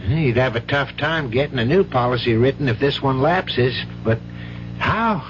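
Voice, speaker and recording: A man's voice: medium pitch, lively intonation, moderate pace, close to an everyday voice. An actor playing a frustrated man who has run out of patience, in a 1970s radio drama. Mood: weary, exasperated. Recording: dull, noisy 1970s radio broadcast.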